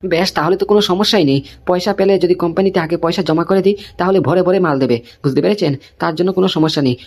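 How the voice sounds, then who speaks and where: A comic cartoon goods supplier , acted by a man, rattles off reassurances in a fairly high male voice. The patter is extremely quick, repetitive and placating, like a salesman soothing his customers. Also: darkish tone.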